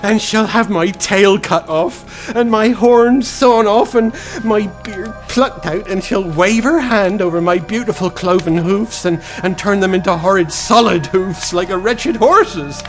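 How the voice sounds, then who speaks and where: An actor playing a timid, guilt-ridden fawn in a radio drama, faltering and plaintive. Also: male voice, high voice, unhurried, few pauses, faint music behind. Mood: frightened, wailing. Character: tearful, guilt-ridden male fawn, timid and self-reproaching.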